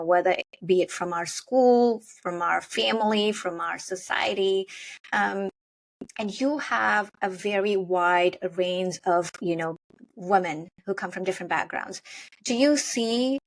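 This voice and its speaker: A woman's voice: medium pitch, low-quality recording. A woman from Nepal, hosting a podcast and reflecting on her own story before asking her guest a question. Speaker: podcast host. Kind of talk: interview conversation.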